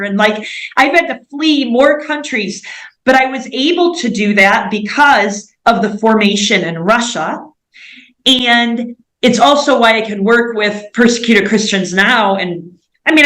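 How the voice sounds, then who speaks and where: An American woman speaks about her missionary life in an interview with fervent conviction. Her voice sits at an ordinary middle pitch, and the room gives it a noticeable echo. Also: fairly bright tone. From United States. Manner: earnest, fervent storytelling. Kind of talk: personal testimony in an interview. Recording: echoey room.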